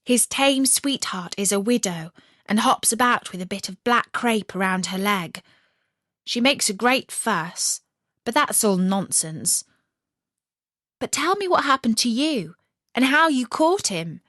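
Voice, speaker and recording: A woman voicing a brash, bossy young robber girl in a storybook, blunt and brisk. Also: fairly high pitch, lively intonation, a bit bright, no echo, clean studio sound. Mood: brash, teasing.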